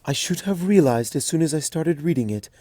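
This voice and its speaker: A man voicing a gentle, regal, motherly pony princess in a fanfic reading, calm, hushed and kindly. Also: a little high, a little fast, no echo, pitched above the performer's own voice. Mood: tender.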